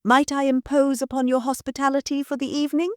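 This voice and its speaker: Smooth cultured aristocrat, as a woman reads him, refined, faintly sinister. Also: high-pitched, flat delivery, quick pace, darkish tone, no room sound, studio quality, pitched above the performer's own voice, natural voice.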